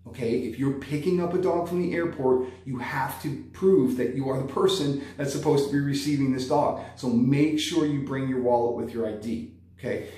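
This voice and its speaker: A man's voice: mid-range voice, moderate pace, dark tone. A man in his thirties, a dog trainer, giving practical tips in a vlog and checking in with "okay?" after each point. He talks straight to the camera from an indoor room. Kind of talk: instructional vlog. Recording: very echoey room.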